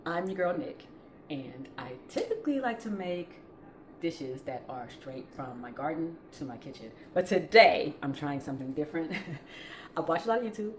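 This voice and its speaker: A woman's voice: low-pitched, sing-song, normal speed. A chatty woman with a Southern US accent, talking casually as she hosts a home cooking demonstration. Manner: chatty, informal. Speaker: home cooking vlog host. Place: indoors in a home kitchen. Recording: roomy kitchen echo, clattering utensil noise.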